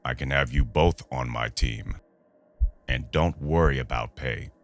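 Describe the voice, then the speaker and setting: A man acting a condescending, intimidating boss, very deep and slow. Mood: angry, threatening. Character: menacing, smooth-talking boss recruiting with veiled threats. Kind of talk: audio drama acting.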